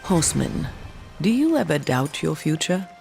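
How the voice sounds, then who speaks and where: A woman playing a solemn old shaman of a dying giant race in a fantasy game, speaking in a measured, mystical way. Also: low-pitched, very animated, vocal fry, a little mellow, faint music behind, exaggerated voice. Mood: ominous. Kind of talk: dark fantasy game acting.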